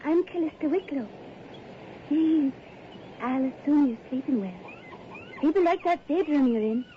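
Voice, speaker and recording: An odd, high-voiced young village woman. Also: expressive, measured pace. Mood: politely eerie. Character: odd, polite young village innkeepers' daughter. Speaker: supporting character, innkeepers' daughter. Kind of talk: old-time radio drama acting. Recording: muffled, noisy old radio recording.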